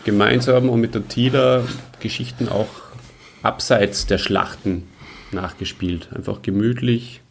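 Low-voiced Austrian man in casual chat. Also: steady tone, measured pace, vocal fry, a little hiss or hum. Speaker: podcast co-host.

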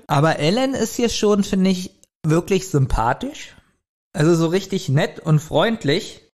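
A man's voice: sits high, lively intonation, a little slow, darkish tone. A man co-hosting a podcast, chatting casually about an audio drama and often chuckling as he talks. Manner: chuckles often while talking. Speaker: podcast co-host.

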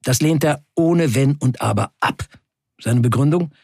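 A man playing a defiant factory worker in an audio drama, narrating in the first person with emphatic, measured delivery and a husky voice. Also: mid-range voice, a little mellow, dry recording, studio quality. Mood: determined. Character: defiant male Turkish factory worker recounting a wildcat strike.

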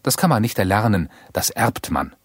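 An actor playing a storybook narrator in a children's audio drama, reading scripted narration. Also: male voice, fairly low pitch, very animated, normal speed, husky, dry recording, clean studio sound. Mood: explanatory. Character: storybook narrator describing witches and mothers.

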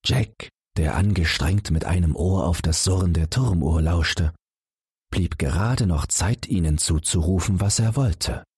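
A man reading a fairy tale as a calm bedtime story, gently addressing young listeners. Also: low voice, measured pace, breathy edge, dry recording, clean studio sound. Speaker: audiobook narrator.

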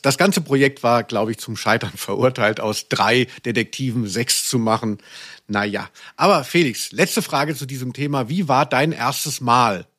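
A man's voice: mid-range voice, normal speed, fairly bright tone, breathy edge. A man with a German accent hosting a podcast in casual, humorous chat, rambling and teasing. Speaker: podcast host.

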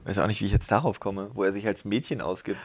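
A man co-hosting a podcast in casual chat, excitable, laughing often and swearing casually. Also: fairly low pitch, fast, slightly rough, no room sound. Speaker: podcast co-host.

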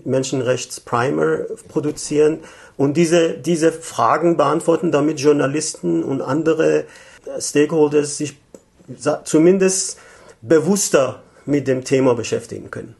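A man in his fifties from Iran, a native Persian speaker, answering interview questions thoughtfully with frequent pauses and restarts. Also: on the high side, gravelly, darkish tone. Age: 50s. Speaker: interview guest, economic consultant.

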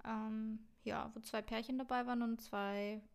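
A young woman co-hosting a podcast, with a fairly high voice. She chats in an easy, rambling way, full of little fillers and English slang. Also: flat delivery, a little slow, clear, resonant tone. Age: 20s. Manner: chatty, many fillers, mixes in English words. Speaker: podcast co-host. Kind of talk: casual chat. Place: Vienna.

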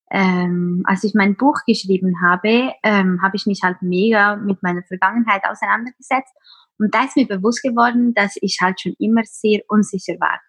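Swiss woman, husky and close. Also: mid-range voice, a bit bright, no echo. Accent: Swiss German. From Switzerland. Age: 20s. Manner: open, many filler sounds. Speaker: podcast guest, teacher and blogger. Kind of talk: casual interview talk.

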